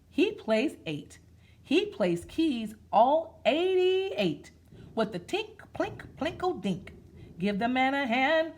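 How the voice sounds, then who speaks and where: A woman with a fairly high, very animated voice hosts a children's read-aloud. She takes her time with a sing-song, rhythmic delivery and talks to her listeners as friends. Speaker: storytime host reading a picture book aloud. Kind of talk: children's read-aloud with rhythmic sound effects and chatty asides.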